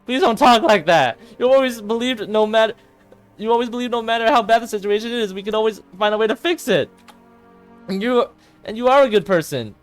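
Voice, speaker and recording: A man's voice: sits high, brisk, faint music behind, voice pushed up, natural voice. A man voicing a frantic, loyal teenage girl who pleads emphatically in a dramatic visual novel performance. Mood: earnest pleading.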